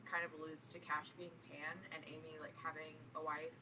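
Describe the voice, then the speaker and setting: A woman's voice: sits low, level tone, normal speed. A woman chatting casually in a group book discussion, speaking in loose, hesitant phrases full of "like". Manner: hesitant, filler-heavy phrasing with frequent "like". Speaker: book club participant. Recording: distant mic, faint background noise.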